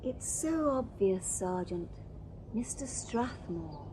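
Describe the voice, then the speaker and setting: A woman's voice: mid-range voice, big pitch swings, slow, background noise. An actress playing a prim, coy young Victorian lady in a radio drama.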